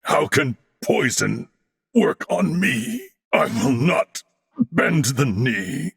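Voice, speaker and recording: A man's voice: mid-range voice, big pitch swings, extremely slow, vocal fry, fairly bright tone, slightly rough, exaggerated voice. An actor playing a formal, disciplined, proud and loyal swordsman in a video game, speaking in theatrical, archaic phrasing. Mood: dutiful, resolute.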